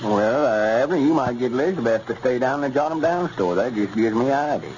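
An actor playing a self-important, wordy country storekeeper with a rural Arkansas accent in a 1930s radio comedy. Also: male voice, medium pitch, animated, fast talker, a little mellow, natural voice. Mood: matter-of-fact. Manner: folksy, long-winded drawl. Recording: noisy, muffled 1930s radio recording.

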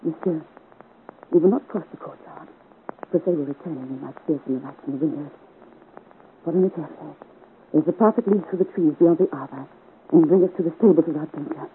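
A woman's voice: sits low, fast, pauses often, lightly acted. An actress playing a frightened but resolute young woman in a melodramatic, urgent 1950s radio serial style. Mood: urgent, guarded. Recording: scratchy, muffled 1950s radio recording.

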